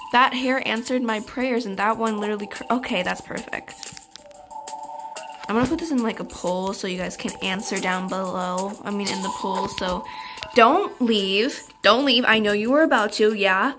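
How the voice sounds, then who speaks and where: A young girl chatting casually over her game, rambling and giggly as she talks to her viewers. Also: medium pitch. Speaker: channel creator. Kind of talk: casual gameplay chat. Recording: game music underneath.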